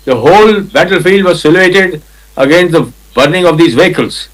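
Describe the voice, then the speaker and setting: An older man with an Indian accent tells a war story in a loud, commanding voice, his pitch sitting a little high for a man. He acts out orders and shouts from the battlefield and keeps clearing his throat between lines. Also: moderate pace, rough recording. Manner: loud commanding voice, vivid retelling with acted quotes. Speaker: retired army officer recounting war.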